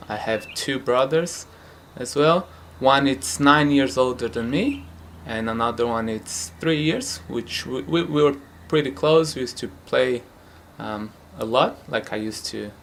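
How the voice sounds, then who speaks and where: A young Brazilian man whose first language is Portuguese speaks in English with a Brazilian accent at a mid pitch. He answers casually, pausing on fillers and searching for words, with faint noise in the room behind him. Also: vocal fry. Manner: relaxed, hesitant, frequent fillers and light laughs. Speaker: futsal player. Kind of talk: casual interview. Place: home.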